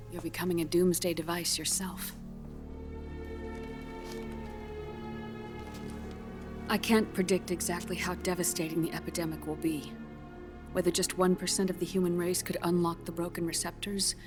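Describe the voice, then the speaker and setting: A woman playing a grave scientist in a video game cutscene, explaining grim findings in a measured, solemn way. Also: fairly low pitch, level tone, music in the background, close to an everyday voice. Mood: somber, bitter. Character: grave female scientist delivering a grim diagnosis.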